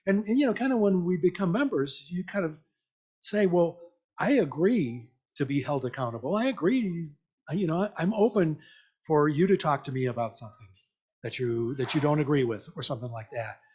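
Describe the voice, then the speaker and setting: A man giving an informal, interactive church message in a conversational, reflective, halting way, often checking in with questions. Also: a little high, expressive, darkish tone, husky. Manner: invites listeners with questions. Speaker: lay church speaker.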